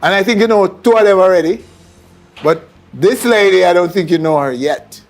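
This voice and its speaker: A man with a Jamaican accent and a fairly high voice hosts an interview. He probes with questions and repeats answers back as he goes. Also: normal speed, soft background music. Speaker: interview show host. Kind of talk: onstage-style television interview.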